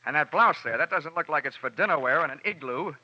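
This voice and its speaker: An American actor playing a tough, wisecracking insurance investigator with a wry, snappy delivery in an old radio detective drama. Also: male voice, fairly high pitch, lively intonation, quick pace, sharp tone, no room sound, exaggerated voice. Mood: hard, mocking. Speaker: lead character and narrator. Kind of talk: 1950s radio detective drama, hardboiled narration. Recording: dull vintage radio broadcast recording.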